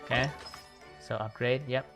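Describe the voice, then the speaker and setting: A man hosting a livestream, giving casual, low-key commentary on a video game in short reactions. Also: level tone, moderate pace, vocal fry. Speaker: livestream host. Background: game music.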